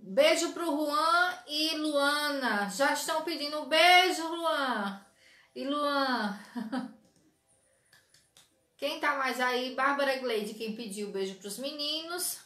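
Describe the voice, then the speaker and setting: A woman's voice: sits high, expressive, takes it slowly, sharp tone, roomy sound. Chatty woman hosting a craft live stream. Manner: chatty, greets viewers by name, frequent "certo?" tag questions. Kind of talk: live sewing tutorial chat. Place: small craft studio with shelves of dolls, indoors.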